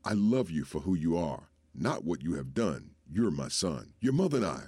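A gentle, grieving elderly father speaks in a very deep voice that swings widely in tone, as a man reads him aloud. He pleads tenderly through tears, his words recorded close and dry. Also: sing-song, normal speed, slightly rough, no room sound, exaggerated voice. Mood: tearful, tender.

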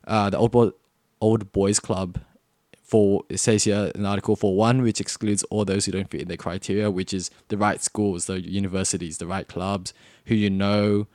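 A man's voice: on the low side, level tone, normal speed, a little mellow, dry recording, studio quality. A young Australian man co-hosting a podcast, chatting casually and rambling with lots of fillers. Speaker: podcast co-host. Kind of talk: casual chat.

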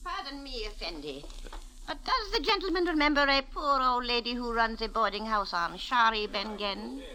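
A woman's voice: a little high, animated, normal speed, pushed and bright, slight background noise. An actress playing a poor, sly old Egyptian landlady in a vintage radio drama, wheedling and bargaining in a roundabout way. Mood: wheedling, humble. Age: older. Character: poor, wheedling old Egyptian boarding-house woman, sly about money. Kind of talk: 1940s radio acting.

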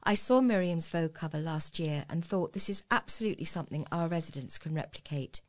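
Female, slightly low voice; volunteer newsreader giving scripted local stories a measured read. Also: animated. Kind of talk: scripted news reading.

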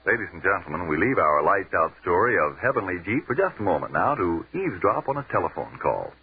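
A man's voice: low voice, sing-song, fast. A man announcing and reading a commercial in a persuasive, emphatic 1940s radio style. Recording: tinny old radio broadcast.

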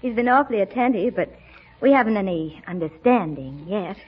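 A woman's voice: medium pitch, animated, brisk, husky. An actress playing an earnest, lovestruck but proud young woman in a 1940s radio comedy. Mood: dreamy, earnest. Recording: muffled old radio broadcast.

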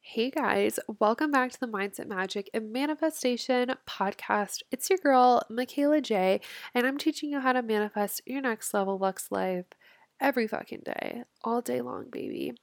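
A woman's voice: on the high side, normal speed, creaky, dry recording. A young woman in her twenties hosting her podcast, sounding nasal and stuffy from a cold, chatting in a bubbly, casual way and swearing freely. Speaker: podcast host and manifestation coach. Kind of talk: casual solo podcast chat.

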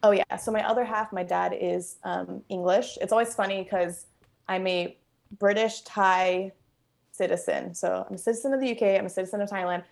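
Chatty young woman with a gravelly edge. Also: medium pitch, fairly even intonation, moderate pace. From born in Bangkok, raised in Los Angeles. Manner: chatty, many fillers, laughs easily. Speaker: guest. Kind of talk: casual conversational interview. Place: at home, kitchen nearby.

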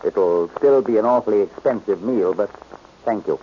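An actor playing an uneasy gentleman gambler in a 1940s radio crime drama. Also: fairly low pitch, moderate pace, close to an everyday voice. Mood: resigned. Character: uneasy gentleman gambler who has lost. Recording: dull, noisy old radio recording.

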